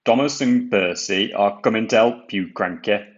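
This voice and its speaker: An authoritative railway controller from a storybook , acted out by a man reading aloud. His voice is low and measured, with a firm manner, on a slightly dull recording. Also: close to an everyday voice. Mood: reassuring. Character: male railway controller, authoritative boss of the engines.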